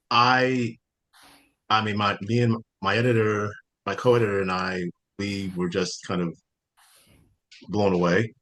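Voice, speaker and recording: A man hosting an informal literary interview as an editor, speaking haltingly and repeating words as he frames his thoughts. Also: on the low side, a bit bright, low-quality recording. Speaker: host and journal co-editor interviewing poets.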